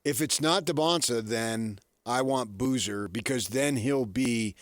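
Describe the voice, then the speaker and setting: An American man co-hosting a sports radio show, talking casually and haltingly as he restates his points. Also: medium pitch, no echo, studio quality. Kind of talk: casual sports talk commentary.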